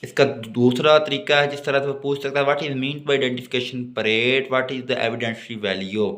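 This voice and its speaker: A man's voice: mid-range voice, steady tone, a little slow, fairly bright tone. A man with a Pakistani accent giving a law lecture, reading notes aloud and explaining them in a didactic way.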